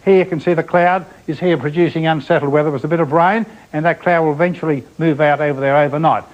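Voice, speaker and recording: Brisk Australian weatherman on old TV. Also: a little high, fairly even intonation. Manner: brisk, chatty, pointing at charts. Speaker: TV weather presenter. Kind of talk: semi-scripted weather report. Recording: dull, lo-fi TV broadcast sound.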